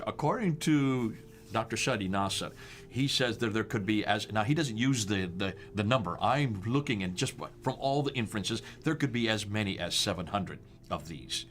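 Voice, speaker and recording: A man's voice: mid-range voice, fairly bright tone, slight background noise. A man with an American accent hosting a discussion, walking through slides with emphatic rhetorical questions and stressed dates. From United States. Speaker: host.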